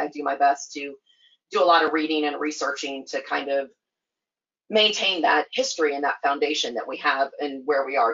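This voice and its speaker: A woman with a notably deep voice speaks as a podcast guest. She is chatty and loose, full of fillers and quick laughs. Her voice sounds somewhat muffled and lo-fi. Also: a bit bright. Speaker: guest, skating association staff. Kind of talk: casual podcast interview.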